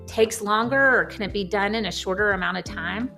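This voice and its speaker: Woman host, medium pitch, prepared interview delivery, faint music underneath. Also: fairly quick, pushed and bright. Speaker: host, magazine publisher.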